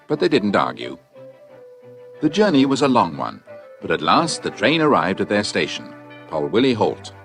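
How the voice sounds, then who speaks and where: A man's voice: lowish voice, fairly bright tone. A man narrating a scripted children's audiobook as a storyteller.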